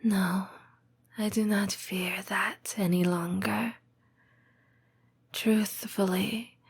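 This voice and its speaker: A woman voicing a tender, patient lamia girlfriend in an intimate comfort roleplay, breathy and whispering, with measured, formal phrasing. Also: mid-range voice, full character voice. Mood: tender, reassuring. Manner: slow, measured, formal phrasing with deliberate pauses. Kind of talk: intimate comfort roleplay audio, scripted monologue.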